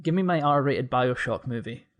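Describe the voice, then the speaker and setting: A man's voice: medium pitch, lively intonation, normal speed, dull audio. A man co-hosting a podcast in casual, conversational chat. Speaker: podcast co-host.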